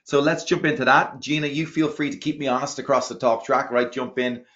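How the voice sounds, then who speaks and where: An Irish man presenting in a webinar over a video call, energetic and chatty, often tagging his sentences with "right?". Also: mid-range voice, a little fast. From Ireland. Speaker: webinar presenter, product marketing executive. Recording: dull lo-fi webinar audio.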